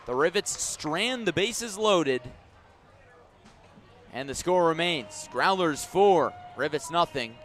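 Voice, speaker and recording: An American man doing live radio play-by-play of a baseball game, measured between pitches and emphatic on the action. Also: animated, fairly bright tone, soft background music, a faint crowd. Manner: measured description rising into emphatic calls on the action. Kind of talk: live radio sports commentary. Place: outdoors at a ballpark.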